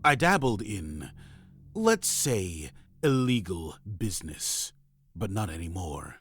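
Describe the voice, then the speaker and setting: An actor playing a grave, mysterious ex-criminal informant and desperate father in an adventure game, speaking cryptically and urgently, with phrases that drop toward a hush. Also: sits low, big pitch swings, slow, breathy edge, no echo, full character voice. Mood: grave, secretive. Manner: cryptic, urgent, dramatic delivery with hushed line endings. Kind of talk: dramatic point-and-click adventure game voice acting. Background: music.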